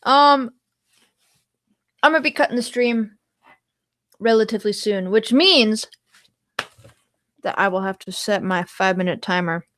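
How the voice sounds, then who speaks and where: Slow-talking young woman streamer. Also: mid-range voice, fairly bright tone, dry recording. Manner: rambling, trails off into song snippets. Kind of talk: casual livestream chat with singing.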